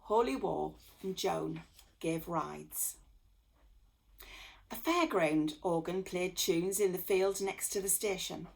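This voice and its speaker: A woman storyteller reading a children's bedtime story aloud in an expressive manner. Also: on the low side, takes it slowly, vocal fry, low-quality recording. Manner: expressive, with acted dialogue.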